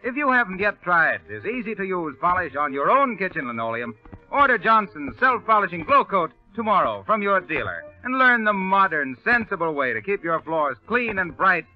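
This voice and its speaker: A man's voice: sits high, big pitch swings, moderate pace. An American man announcing on 1930s radio, reading scripted copy with polished, emphatic salesmanship. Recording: dull 1930s radio recording with music.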